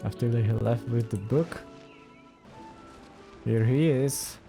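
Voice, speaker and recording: A man giving casual let's play commentary, laid-back and halting. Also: mid-range voice, gravelly. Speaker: gaming streamer. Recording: faint game music behind the voice.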